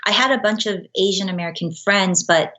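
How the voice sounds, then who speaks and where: A woman's voice: mid-range voice, a little fast, sharp tone, dull audio. A young woman from Los Angeles, raised speaking Korean, answering interview questions in a thoughtful, casual way. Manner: thoughtful, uses fillers and quoted asides. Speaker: guest, baker.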